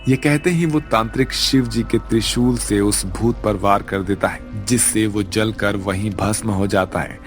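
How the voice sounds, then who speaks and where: A man's voice: on the low side, fairly even intonation, measured pace, hardly any pauses. A man narrating a scripted cartoon story as the storyteller. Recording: music under the voice.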